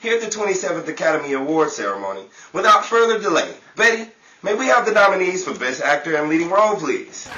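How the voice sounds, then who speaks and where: Lively, bright-voiced man announcing awards. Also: sits high, quick pace, dull audio. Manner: theatrical announcer delivery. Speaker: show host and cast member. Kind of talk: scripted old-time radio awards presenting. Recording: roomy, distant mic, faint crowd and hiss.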